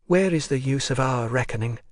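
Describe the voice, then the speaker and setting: A man's voice: mid-range voice, a little fast, no room sound, clean studio sound, lightly acted. A man voicing an earnest young woman prisoner. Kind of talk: audiobook character reading.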